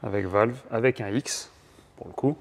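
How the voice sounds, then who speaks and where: A man's voice: fairly low pitch, moderate pace, gravelly. A man chatting casually and jokingly as a co-host in a car workshop. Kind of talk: casual chat, joking commentary. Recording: faint background workshop noise.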